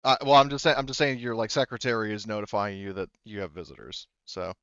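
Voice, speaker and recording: Smooth low-voiced male game master, slightly dull mic. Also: fairly even intonation, quick pace, a bit bright, no echo. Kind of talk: casual tabletop game narration.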